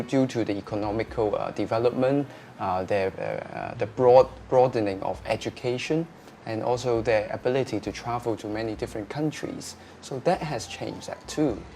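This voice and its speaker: Gravelly-voiced man, hesitant. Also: medium pitch, a little mellow. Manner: hesitant, many filler sounds. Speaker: restaurateur. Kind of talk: interview answers.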